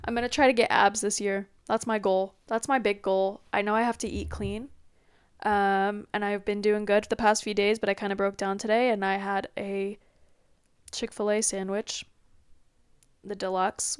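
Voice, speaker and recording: A young woman with a congested, stuffy nose, chatting in a rambling, scattered way about herself. Her voice is smooth and sits at a middle pitch. She is recorded dry and close on a laptop mic. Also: level tone, normal speed, no room sound. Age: young adult. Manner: chatty, scattered, self-deprecating. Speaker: podcast host. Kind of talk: rambling casual vlog chat. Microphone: laptop built-in mic.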